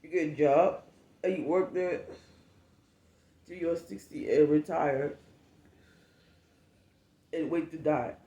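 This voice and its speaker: A woman's voice: sits low, takes it slowly, pauses often, dark tone. Frank Cameroonian woman in an echoey room. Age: late 20s. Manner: frank and emphatic, with swearing and repetition. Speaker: podcast host. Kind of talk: candid solo podcast monologue.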